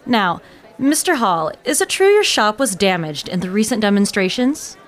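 An actress playing a poised local news reporter in an audio drama, measured and formal. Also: female voice, mid-range voice, sing-song, lightly acted. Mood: composed.

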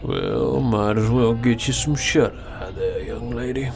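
An actor playing a folksy older trucker who talks in an easy drawl. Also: male voice, medium pitch, sing-song, a little slow, vocal fry, over music. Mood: easygoing. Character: easygoing older male trucker, folksy and kind.

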